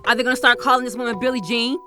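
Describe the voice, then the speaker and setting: A woman's voice: fairly high pitch, fairly even intonation, racing, close to an everyday voice. A woman acting an indignant, sarcastic betrayed wife in an audio drama. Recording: music behind.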